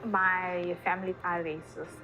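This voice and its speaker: A woman from South Africa recounting her story in a recorded interview, speaking softly and shyly. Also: fairly low pitch, level tone, unhurried, muffled recording, slight background noise.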